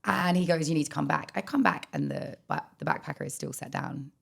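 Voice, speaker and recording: A young Australian woman co-hosting a casual podcast, with a croaky voice and lively storytelling. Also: low-pitched, a little fast. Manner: chatty storytelling, animated quoting. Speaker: podcast co-host. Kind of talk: casual chat.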